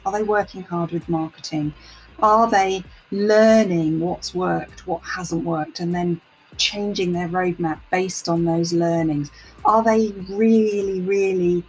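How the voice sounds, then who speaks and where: A woman speaking as an interview guest in a thoughtful discussion, explaining things in a measured, structured way. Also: on the low side, rough recording. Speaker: interview guest, senior product director.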